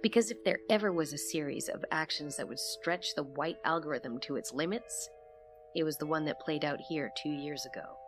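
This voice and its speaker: A woman acting as an investigator who narrates a mysterious case in a measured first-person audio drama. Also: low voice, over music, close to an everyday voice. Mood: measured, reflective.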